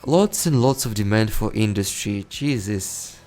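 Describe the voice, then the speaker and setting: A man's voice: lowish voice, expressive, a little slow, a little mellow, soft background music. A young man giving casual, rambling commentary over a city-building game, correcting himself and chuckling often. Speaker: let's play host.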